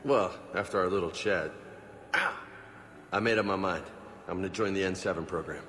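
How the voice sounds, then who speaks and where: A man's voice: low-pitched, normal speed, pushed and bright, slight background noise. A man playing a cocky, eager young marine lieutenant in a video game, casual, swaggering and playful. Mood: cocky, earnest.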